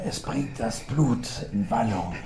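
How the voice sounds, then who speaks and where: A man acting in a radio drama as an ailing, stubborn hospital patient who speaks in halting fragments with a gravelly voice. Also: medium pitch, animated, mellow. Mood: stubborn, weak. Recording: echoey room, faint music.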